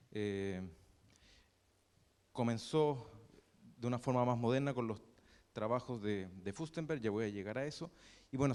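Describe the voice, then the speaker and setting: A man's voice: mid-range voice, a little mellow, clean tone. Chilean guy teaching math, lots of ehs and restarts, long hall echo. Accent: Chilean Spanish. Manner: halting, with many fillers and restarts. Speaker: lecturer. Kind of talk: university math lecture. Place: lecture hall. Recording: reverberant lecture hall.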